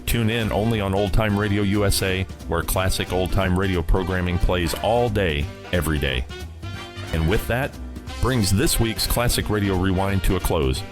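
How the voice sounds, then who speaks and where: A man's voice: deep voice, moderate pace, music in the background. A man hosting a radio program with scripted, promotional narration. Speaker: podcast and radio program host.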